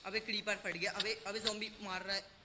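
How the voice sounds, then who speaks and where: Rambling young gaming host. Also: male voice, high-pitched, flat delivery, fast talker, heavy background noise. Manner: rambling, self-correcting, playful swearing. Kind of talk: gameplay chatter and casual narration to viewers.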